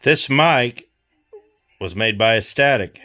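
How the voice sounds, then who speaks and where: A man hosting a repair vlog, talking casually and thinking aloud in an unhurried way as he demonstrates hands-on work. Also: mid-range voice, lively intonation, sharp tone, soft background music. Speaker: host of a radio repair vlog.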